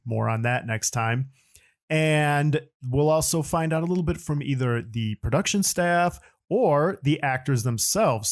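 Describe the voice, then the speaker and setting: A man hosting a podcast, introducing the show in a casual, chatty style. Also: medium pitch. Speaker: podcast host.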